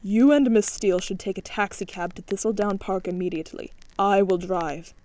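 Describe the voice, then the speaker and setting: A woman voicing a stern male robot detective in a clipped, formal, flat way. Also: medium pitch, normal speed, natural voice. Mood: commanding.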